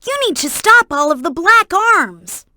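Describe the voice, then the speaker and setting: A woman voicing a young boy fox sidekick in a video game, earnest, helpful and excitable, with clear childlike delivery. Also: very high voice, measured pace, dry recording, studio quality, exaggerated voice. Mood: earnest, instructive. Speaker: video game character giving hints. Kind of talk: game voice acting, tutorial hints and alerts.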